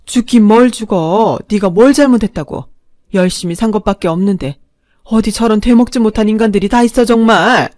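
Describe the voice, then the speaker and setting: A woman's voice: mid-range voice, fairly quick, darkish tone, no echo, clean studio sound, close to an everyday voice. A woman voicing a protective middle-aged aunt who stands up for her niece, in a story reading. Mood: indignant. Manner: indignant, reassuring.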